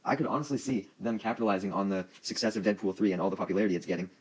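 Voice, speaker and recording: Man, deep voice, rapid delivery, casual online news commentary, opinionated, informal and sweary. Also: rough recording.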